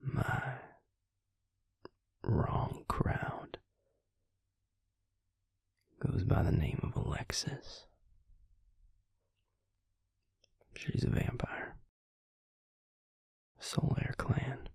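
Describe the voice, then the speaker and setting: Intimate audio roleplay: male actor as protective, regretful vampire man, hushed whisper, heavy rasping fry, halting confessional delivery. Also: mid-range voice, huge pitch swings, no room sound, full character voice. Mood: confiding, solemn. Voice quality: breathy, gravelly whisper. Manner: slow, halting, confiding.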